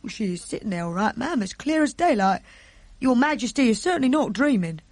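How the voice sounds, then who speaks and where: A fussy middle-aged maid from a storybook, acted by a woman. Her voice is high and dry in sound, deferential yet easily flustered and indignant. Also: very animated, brisk, darkish tone, no room sound. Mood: concerned.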